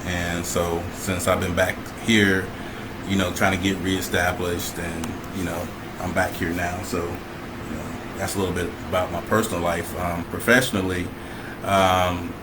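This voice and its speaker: A middle-aged man from Michigan, a chef, talking about his life in a relaxed, hesitant way with many fillers. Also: low-pitched, normal speed. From Michigan, USA. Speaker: guest, chef. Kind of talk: casual conversation on a livestream. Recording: noisy home recording.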